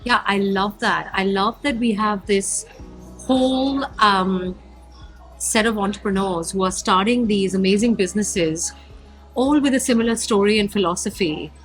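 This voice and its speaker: A woman's voice: mid-range voice, moderate pace, faint music behind, low-quality recording. Enthusiastic woman interview host. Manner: enthusiastic, effusive. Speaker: podcast host. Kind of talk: casual interview hosting.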